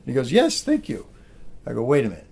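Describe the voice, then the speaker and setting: A middle-aged man with an American accent, a military pilot giving an anecdotal talk to a room in an animated storytelling manner. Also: medium pitch, fast talker, vocal fry, husky.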